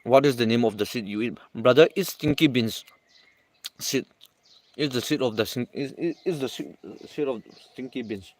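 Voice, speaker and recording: A man hosting a casual livestream, reading viewer comments aloud and answering in halting English full of restarts. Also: medium pitch, a little hiss or hum. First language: not English.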